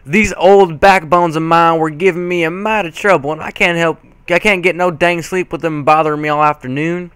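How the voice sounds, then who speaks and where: A man's voice: fairly high pitch, fairly even intonation, moderate pace, hardly any pauses, a bit bright, clean tone, dry recording, voice pushed up, lightly acted. A man voicing an elderly granny pony, sleepy and cranky, with rustic old-timer phrasing in a story reading. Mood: grumbling, insistent.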